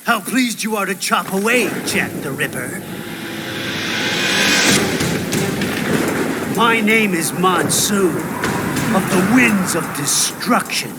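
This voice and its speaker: A man playing a sinister, philosophical video game villain, speaking in a deliberate, theatrical, taunting way with a fatalistic streak. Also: high-pitched, animated, very noisy. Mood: mocking menace. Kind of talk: video game cutscene acting.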